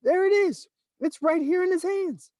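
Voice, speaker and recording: A man voicing a fat, excitable middle-aged male shopkeeper who shrieks with excitement in a storybook reading. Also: mid-range voice, a little fast, no room sound, clean studio sound, close to an everyday voice. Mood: ecstatic shrieking.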